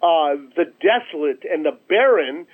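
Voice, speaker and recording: A man with a fairly high voice speaks as an interview guest over a thin telephone connection. He makes his case emphatically, building it with repetition and rhetorical questions. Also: animated, measured pace. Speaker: interview guest, nonprofit chief executive. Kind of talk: radio interview, persuasive storytelling. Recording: tinny, narrow-band telephone line.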